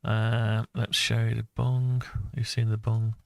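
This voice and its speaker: Low, monotone man thinking aloud. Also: takes it slowly, gravelly, no echo. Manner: hesitant, musing, talks through ideas to himself. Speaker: presenter of a hobby design project video. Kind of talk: casual screen-share design walkthrough, thinking aloud.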